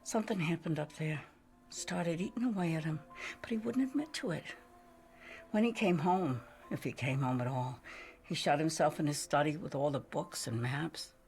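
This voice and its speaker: An actress playing a weary, haunted older American widow in a game cutscene, telling her story wistfully in a husky voice that often drops to a breathy hush. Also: deep voice, faint music behind. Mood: brooding. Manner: slow-burning, wistful storytelling. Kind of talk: dramatic game cutscene monologue.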